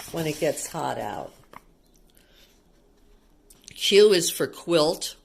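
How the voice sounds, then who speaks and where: Slow-paced woman reading to little kids. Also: medium pitch. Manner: child-directed, explaining and asking questions. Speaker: teacher reading a picture book to young children. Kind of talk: read-aloud with simple explanations for small children.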